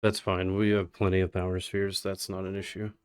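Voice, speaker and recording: A man's voice: low-pitched, completely flat delivery, fairly quick, clear, resonant tone. A man giving casual live commentary as he speedruns a video game on a livestream.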